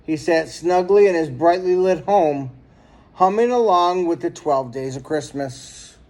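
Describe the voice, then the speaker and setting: A man reading a children's story aloud in an expressive, dramatic storytelling manner. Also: fairly high pitch, a little slow. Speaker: storybook reader.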